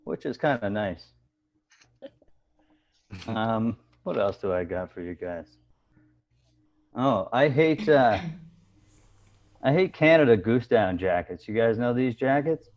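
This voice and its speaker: A man doing a stand-up set of deadpan one-liners over a video call, with hesitant fillers between jokes. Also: mid-range voice, slow, long pauses, highs cut off. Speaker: stand-up comedian.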